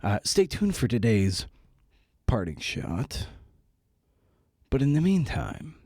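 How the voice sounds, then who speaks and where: A man's voice: medium pitch, sing-song, slow, darkish tone, breathy edge, no echo, studio quality. A man hosting a podcast and interviewing, speaking in a casual, informal, slangy way.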